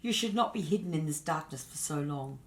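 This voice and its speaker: A woman's voice: low voice, lively intonation, brisk. A woman voicing a curious, worried male spirit in a storybook, speaking in an eager, questioning manner. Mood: admiring. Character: Punjal, curious, worried male spirit helper among the stars.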